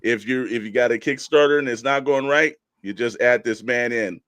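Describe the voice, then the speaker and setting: A man's voice: medium pitch, moderate pace, a bit bright, no echo. A man co-hosting a casual live show, hyping up guests in a folksy, effusive way and repeating phrases for emphasis. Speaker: podcast co-host.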